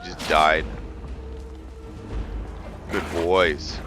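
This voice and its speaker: Low-voiced man, unhurried gaming commentary. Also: little pitch movement. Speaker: gaming video host. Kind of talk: casual let's play commentary. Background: game music.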